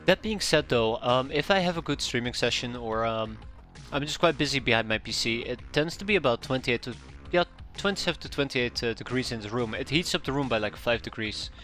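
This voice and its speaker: Bright-voiced Dutch man streaming a game. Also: medium pitch, expressive, fairly quick, faint music behind. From Netherlands. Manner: rambling, self-correcting, chuckling asides. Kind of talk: casual game commentary to chat. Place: home room.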